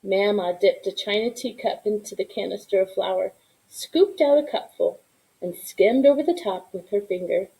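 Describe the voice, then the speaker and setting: A woman reading a children's story aloud in an expressive narration, with asides to young listeners. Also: mid-range voice, moderate pace, creaky, rough recording. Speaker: storybook reader.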